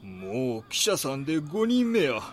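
Gruff Kansai neighbourhood chief, lively pitch. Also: male voice, a little slow, a little hiss or hum. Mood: gruff, wary. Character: gruff older male neighbourhood association chief, wary, talkative. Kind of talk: audio drama dialogue.

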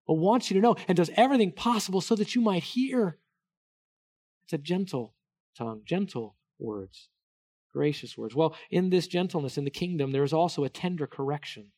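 A middle-aged man preaching a sermon, earnest, dropping to a hush and then rising to emphatic peaks, with many restarts. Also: high-pitched. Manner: earnest, wide swings between soft hushed and raised emphatic delivery; many restarts and repeated words. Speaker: pastor.

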